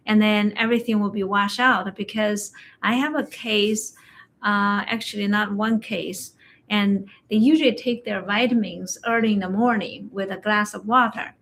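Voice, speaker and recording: A woman acupuncturist, a non-native English speaker whose first language is Chinese, chatting in a slow, halting way about health, often telling stories about patients. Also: mid-range voice, fairly bright tone. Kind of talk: casual health chat. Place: livestream conversation.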